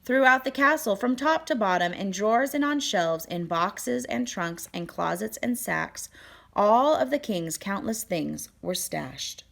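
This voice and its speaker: A woman reading a children's picture book aloud as storyteller, with expressive, deliberate phrasing and dramatic emphasis. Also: mid-range voice, vocal fry.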